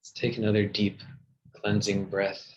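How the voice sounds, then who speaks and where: A man leading a guided meditation in a soothing, gentle manner with long pauses. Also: lowish voice, little pitch movement, dull audio, slight background noise. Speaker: meditation teacher.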